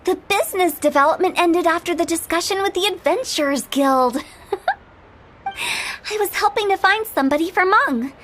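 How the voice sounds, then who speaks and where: A woman's voice: very high voice, big pitch swings, moderate pace, a bit bright, a little hiss or hum, full character voice. A woman playing a cheeky, playful young funeral director in a video game, with a breezy, teasing manner. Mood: amused. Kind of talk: English video game voice acting. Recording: game music behind the voice.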